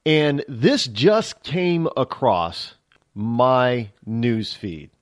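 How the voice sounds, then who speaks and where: A man's voice: medium pitch, animated, drawn-out words. A man hosting a talk radio show, talking in a rambling, boastful way with lots of fillers.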